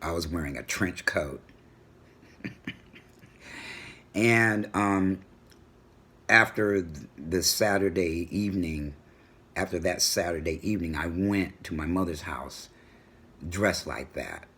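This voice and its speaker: Middle-aged guy vlogging from home, deep husky booming voice, feisty and assertive. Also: slow pace, pauses often. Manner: assertive, animated storytelling. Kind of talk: personal vlog monologue. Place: home room with a world map on the wall.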